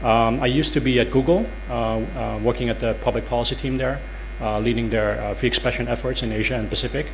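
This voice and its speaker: A man's voice: medium pitch, steady tone, quick pace, a little hiss or hum, rough recording. Dutch-accented man lecturing, full of ums. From Netherlands. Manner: hesitant, many ums and uhs. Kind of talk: workshop talk. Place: conference room.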